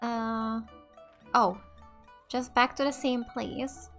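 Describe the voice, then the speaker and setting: A woman's voice: fairly high pitch, steady tone, slow pace, a bit bright. A woman from Finland giving casual let's play commentary as a gaming host. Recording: game music behind the voice.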